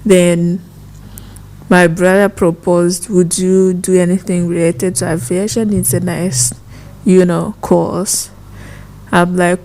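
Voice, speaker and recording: A young woman from Rwanda telling her story as a podcast guest, soft-spoken and thoughtful, her voice trailing off at phrase ends. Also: on the low side, gravelly. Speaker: guest, former foreign student. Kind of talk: conversational storytelling.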